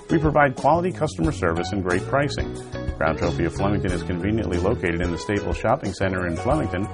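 A man reading a scripted radio ad in an upbeat, salesy way. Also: lowish voice. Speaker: ad announcer. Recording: radio ad with music bed.

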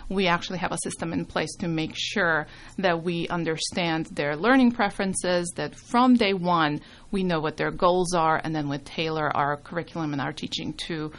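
A woman's voice: fairly low pitch, normal speed, hardly any pauses. A middle-aged woman born in Serbia, a music school founder chatting as a radio guest, with many ums. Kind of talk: casual radio interview.

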